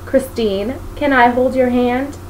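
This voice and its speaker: A woman's voice: sits high, slow pace, voice pushed up. A woman voicing an easygoing, playful man who is good with children, in a story reading. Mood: teasing. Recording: noisy home recording.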